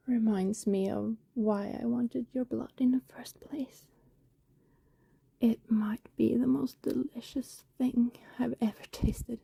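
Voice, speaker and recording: A woman playing a lonely, tender, guilt-ridden vampire neighbor in an intimate ASMR roleplay, speaking softly in a breathy whisper with pauses. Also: fairly high pitch, no echo, full character voice. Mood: hungry, teasing. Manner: slow, hushed, intimate, with pauses.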